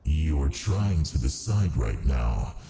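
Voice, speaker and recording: A man playing a haughty, seductive ancient archdemon in an ASMR roleplay, with a gravelly, languid, condescending delivery. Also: extremely low pitch, sing-song, takes it slowly, warm tone, heavily acted. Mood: taunting, persuasive.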